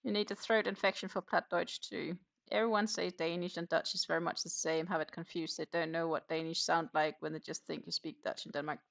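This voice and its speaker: A woman hosting a casual craft livestream, chatting haltingly and muttering to herself in a self-deprecating way. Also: on the low side, level tone, gravelly, a bit bright. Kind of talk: casual livestream chat while knitting.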